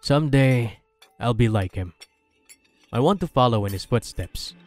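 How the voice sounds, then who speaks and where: A young Filipino man of wealth, voiced by an actor, speaks very slowly and gently, like the romantic hero of an audio drama. He sounds soft and reassuring, with music under his voice. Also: medium pitch, lively intonation, lightly acted. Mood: earnest. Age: young adult. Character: gentle, reassuring rich young rancher's son, romantic lead. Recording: music bed behind voice.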